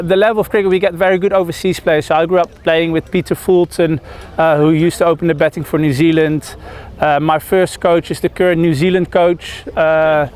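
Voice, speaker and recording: A man's voice: on the high side, clear, resonant tone. A man in his thirties from the Netherlands, a cricketer giving a press interview outdoors, hesitant with many fillers and restarts.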